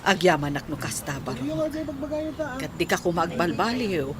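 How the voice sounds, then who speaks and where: A woman's voice: deep voice, lively intonation, moderate pace. An actress playing a loving, proud mother of a grown son in a radio drama. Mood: proud, tender. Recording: crowd noise behind.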